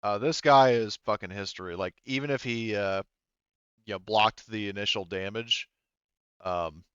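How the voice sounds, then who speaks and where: A man working as game master, narrating a tabletop roleplaying game casually, thinking aloud haltingly and swearing freely. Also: fairly low pitch, fairly bright tone, clean tone, dry recording, muffled recording.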